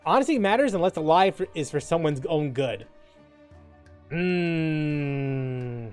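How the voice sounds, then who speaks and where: A man's voice: fairly high pitch, lively intonation, slow pace, soft background music. A man streaming in a casual, rambling and informal way, reading things aloud and commenting on them. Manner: informal, rambling, mildly profane. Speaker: gaming streamer. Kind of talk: casual stream chat, reading quiz questions aloud and reacting.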